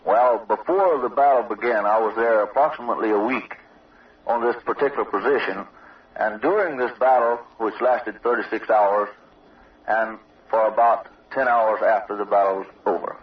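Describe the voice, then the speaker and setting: A man's voice: lowish voice, moderate pace. A man, an army sergeant, answering an interview in formal, matter-of-fact military phrasing. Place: outdoor observation post. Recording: dull, distant old radio field recording.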